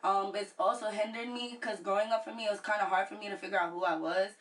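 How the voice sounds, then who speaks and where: A woman's voice: mid-range voice, steady tone, fast talker, fairly bright tone, recorded from a distance. A woman with a New York accent, speaking candidly and informally as a singer-songwriter guest in a casual radio interview.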